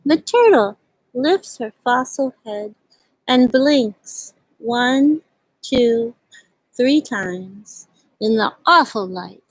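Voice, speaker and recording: A woman hosts a children's storytime outdoors on a farm. Her voice sits on the high side and has a husky edge. She speaks gently and simply, as if to small children, in a read-aloud and chatty style. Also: expressive, drawn-out words, rough recording.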